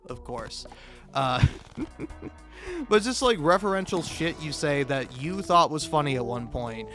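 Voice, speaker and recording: A man with a slightly high voice talks over faint background music. He rambles through a comedic monologue, tripping over and repeating words as he interrupts himself. His delivery is sweary and self-deprecating. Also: fairly bright tone. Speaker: video maker.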